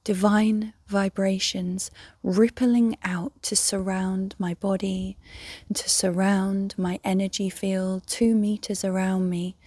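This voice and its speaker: Soft breathy lady doing guided meditation, really slow and even, almost whispering, super dry close sound. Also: medium pitch, no echo. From England. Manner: gentle, soothing, measured with long pauses. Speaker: meditation guide, yoga teacher.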